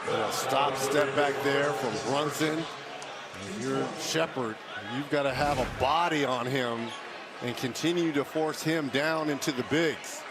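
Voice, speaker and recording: A man's voice: medium pitch, unhurried. A man giving live television basketball analysis as a color commentator. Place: basketball arena. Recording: loud arena crowd and court noise. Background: roaring crowd, bouncing ball.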